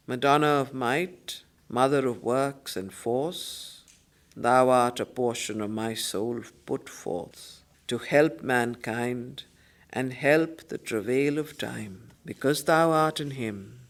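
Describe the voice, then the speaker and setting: A woman with an Indian English accent leads a study circle. She moves between solemn verse reading and spoken explanation. Her delivery is deliberate and emphatic, often returning to a phrase to stress it. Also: very deep voice. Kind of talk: slow poetry recitation with spoken commentary.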